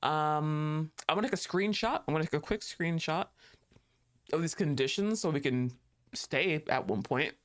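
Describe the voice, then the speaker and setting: A man's voice: on the high side, animated, moderate pace. A man streaming a horror game live, chatting casually, cursing freely and talking back to the characters on screen. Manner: casual, joking, swears freely. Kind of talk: live gameplay commentary.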